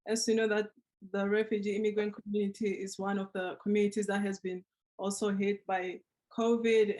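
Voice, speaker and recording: A woman in her twenties telling her personal story on a panel, earnest and reflective, over a video call. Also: mid-range voice. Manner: earnest, reflective, with soft laughs. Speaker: panel speaker.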